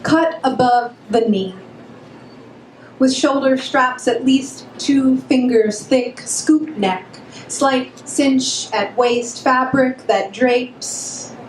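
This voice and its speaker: A woman's voice: high-pitched, drawn-out words. A woman reading poetry aloud at a live event. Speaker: poet. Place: pub room, live reading. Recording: echoey pub room, faint background noise.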